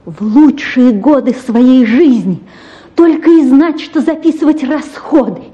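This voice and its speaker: A woman playing an anguished, repressed young gentlewoman in a theatrical radio drama, pleading tremulously. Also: high-pitched, expressive, measured pace, dull audio.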